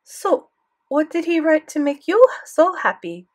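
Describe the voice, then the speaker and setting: A doting but commanding old grandmother speaks with a thick French accent, as a woman reader puts her on. Her voice climbs high and swings in pitch as she presses with questions. She sounds imperious and curious at once. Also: big pitch swings, measured pace. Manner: inquisitive, imperious.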